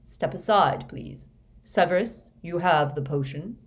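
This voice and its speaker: A woman voicing a calm old male wizard in an audiobook, questioning in a quiet, measured, authoritative way. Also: fairly low pitch, lightly acted. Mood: calm, commanding. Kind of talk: audiobook character voice.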